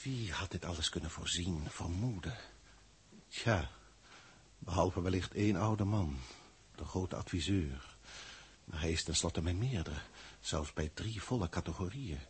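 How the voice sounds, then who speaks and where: An actor in a 1960s science-fiction radio drama playing a formal, grandiloquent alien proctor who speaks deliberately and pompously. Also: male voice, deep voice, expressive, husky, heavily acted. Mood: solemn. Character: authoritative, grandiloquent alien proctor from a galactic federation.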